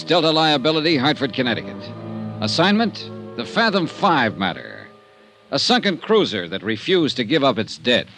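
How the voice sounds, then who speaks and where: An American man acting a dry, wry hard-boiled insurance investigator in a 1950s radio detective drama, with clipped, deliberate delivery. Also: mid-range voice. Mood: brisk, dry. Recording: vintage radio recording with music behind.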